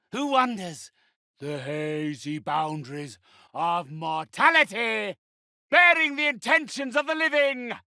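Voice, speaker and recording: Undead necromancer game villain, gravelly rasp, haughty, formal, menacingly polite, slow, very dry close sound. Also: male voice, very high voice, sharp tone, dry recording, full character voice. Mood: grandiose. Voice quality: raspy. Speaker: spirit medium. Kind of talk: theatrical video game villain acting.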